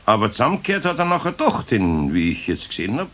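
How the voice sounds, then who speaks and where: Homely Bavarian master carpenter, very animated. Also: male voice, mid-range voice. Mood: surprised, easygoing. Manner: homely, unhurried, wry. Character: good-natured older male master carpenter, wryly strict. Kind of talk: 1970s German children's radio play acting.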